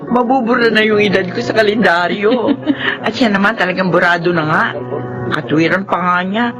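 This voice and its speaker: A woman's voice: medium pitch, moderate pace, over music, natural voice, rough recording. A woman playing a chatty, teasing newlywed saleswoman in a radio drama, playful and matchmaking with her friend.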